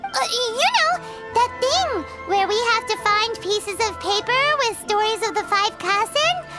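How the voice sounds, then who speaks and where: A squeaky, childlike fairy girl for a game, bouncing all over a very high register. She chatters excitably and calls herself by name. Game music plays loudly underneath. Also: measured pace. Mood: chatty. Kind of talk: game voice acting.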